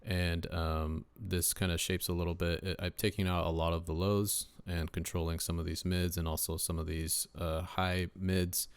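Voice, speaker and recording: Young guy with a deep voice, gravelly and smooth, dry close sound, lots of ums. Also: flat delivery, moderate pace, hardly any pauses, no echo. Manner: laid-back, lots of uh and um fillers. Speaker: rapper and home mixing engineer. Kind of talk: casual screen-share mixing tutorial.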